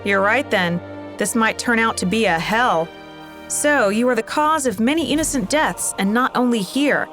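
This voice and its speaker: An actress playing a tough, vengeful soldier in a video game, with a terse, commanding, sardonic manner. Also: female voice, fairly high pitch, animated, normal speed. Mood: accusing. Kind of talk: video game cutscene acting. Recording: loud music behind the voice. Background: music.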